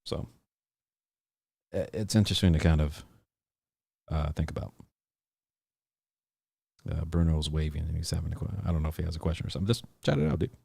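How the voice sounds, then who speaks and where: A man with an extremely low, gravelly voice and a husky edge talks loosely on his own podcast stream. He wanders through his thoughts with fillers and false starts. The sound is dry and close to the mic. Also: a little fast, pauses often, dark tone, no echo. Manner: rambling, hesitant, many fillers and restarts. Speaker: podcast host. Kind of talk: casual livestream chat.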